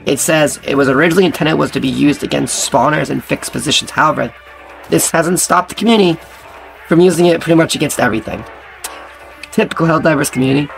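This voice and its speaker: A young man with a hoarse, partly lost voice, casually reading game patch notes aloud as a gaming channel host. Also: on the high side, quick pace, fairly bright tone, faint music behind, poor audio. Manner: casual, reads aloud with stumbles.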